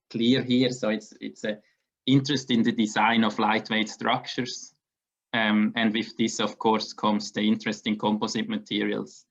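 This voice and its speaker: A man delivers a conference keynote in a flat, level voice that barely rises or falls. He speaks haltingly, restarting phrases and repeating words as he goes. The audio sounds slightly muffled and dull. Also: on the low side. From Switzerland. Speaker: engineering researcher giving a keynote.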